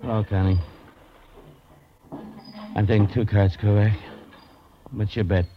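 An actor playing a genial, well-to-do gentleman in an old radio drama, with a mild, chatty delivery. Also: on the low side, steady tone, measured pace, close to an everyday voice. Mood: genial, wistful. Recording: muffled, noisy old radio recording.

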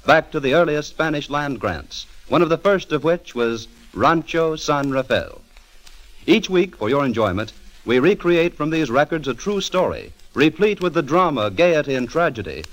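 A man's voice: fairly high pitch, a bit bright, rough recording. An American man announcing for a 1940s radio program in a formal, grandiose scripted style. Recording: old radio broadcast with music behind.

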